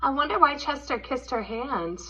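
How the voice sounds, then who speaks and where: A woman reading a story aloud to young children in an expressive, teacherly way. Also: high voice, moderate pace, a little hiss or hum. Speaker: storybook reader. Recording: dull, lo-fi sound.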